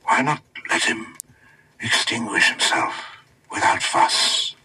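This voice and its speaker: A man voicing an earnest, wistful king in a storybook reading, with a hushed, breathy voice. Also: slow pace, low-quality recording. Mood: weary, puzzled. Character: earnest, wistful new king, male, troubled pupil.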